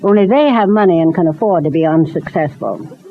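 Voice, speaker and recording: Stern matron on tinny old radio. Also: female voice, on the low side, expressive, brisk. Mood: brisk, firm. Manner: imperious, clipped, exacting. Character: stern, commanding, wealthy society matron. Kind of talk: old-time radio soap opera acting. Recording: tinny, noisy vintage radio recording.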